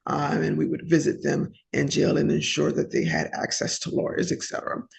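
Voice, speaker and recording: A middle-aged woman from New Orleans, a former diplomat, recalling her career in a reflective oral history interview with many pauses and restarts. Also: sits low, fairly even intonation, vocal fry, darkish tone, a little hiss or hum. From New Orleans, United States.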